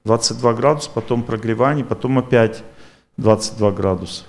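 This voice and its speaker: A man's voice: mid-range voice, a little fast, creaky. A man lecturing an audience and taking their questions, talking in a rambling, repetitive but self-assured way.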